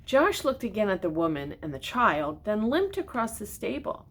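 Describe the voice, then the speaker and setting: Husky older woman reading to children. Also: mid-range voice, moderate pace. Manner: gentle, expressive, addresses young listeners directly with questions. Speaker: storyteller reading picture books to children. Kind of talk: children's storybook read-aloud with asides to young listeners.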